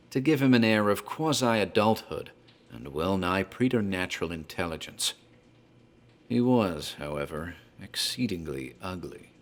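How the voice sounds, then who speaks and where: A man narrating a gothic horror tale in a measured, formal, dramatic literary style. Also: on the low side, fairly bright tone.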